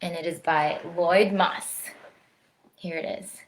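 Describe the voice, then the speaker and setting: A woman, around her thirties, speaking to young children in an expressive storytime style, slowly and directly, recorded at home on a device microphone. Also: lowish voice, a little hiss or hum, low-quality recording. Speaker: storytime presenter, orchestra musician. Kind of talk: children's read-aloud and friendly chat to camera. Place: at home, indoors.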